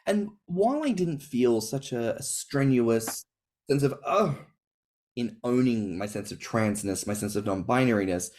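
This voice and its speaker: A man's voice: medium pitch, animated, unhurried, a little mellow. A man in a casual podcast chat, talking expressively with big swings and emphatic stresses. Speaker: podcast co-host or guest.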